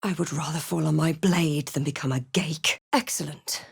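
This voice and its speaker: A self-possessed young fantasy adventurer for a video game. Her delivery is wry and measured, with a touch of drama, in a very dry, close recording. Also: low voice, creaky, husky, no echo, heavily acted. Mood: dry, resolute. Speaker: video game player-character voice actor. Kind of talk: scripted game voice lines, narration and spell incantations.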